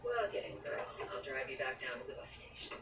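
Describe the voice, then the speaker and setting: An actress playing a terse, dry older aunt in an audio drama. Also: female voice, a bass voice, big pitch swings, brisk. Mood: brusque. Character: gruff, laconic older aunt driving a visitor. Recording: roomy, noisy, distant audio drama recording.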